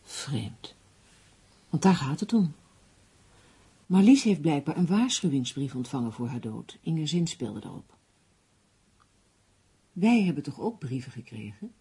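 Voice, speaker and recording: An actress playing an earnest, worried crime novelist in a Dutch radio play, speaking seriously and insistently. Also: female voice, low voice, sing-song, unhurried, long pauses, dark tone, breathy edge, full character voice. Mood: uneasy.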